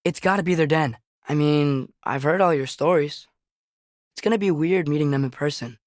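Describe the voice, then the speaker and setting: A man's voice: fairly high pitch, normal speed, no room sound, natural voice. Earnest teenage boy adventurer, acted by a man, dry close recording. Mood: curious, casual. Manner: casual, curious, wry asides. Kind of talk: naturalistic video game dialogue.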